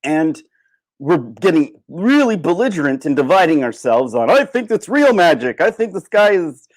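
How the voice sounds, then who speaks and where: A man's voice: a little high, big pitch swings, moderate pace. A man giving an impromptu opinion monologue as a livestream host, emphatic and repetitive, often restarting his sentences. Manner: emphatic, rhetorical questions.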